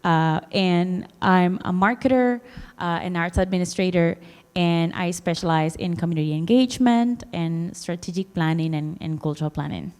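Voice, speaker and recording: A woman's voice: fairly low pitch, normal speed, darkish tone, smooth, in a large hall. A woman from the Dominican Republic giving a conference presentation, hesitant, with many fillers and restarts. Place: conference hall.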